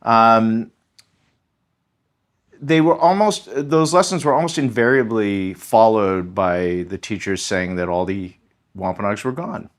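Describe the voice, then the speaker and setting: A man, a history professor, speaking as a podcast guest in a thoughtful, measured way with frequent ums and small restarts. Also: lowish voice. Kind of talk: conversational podcast interview.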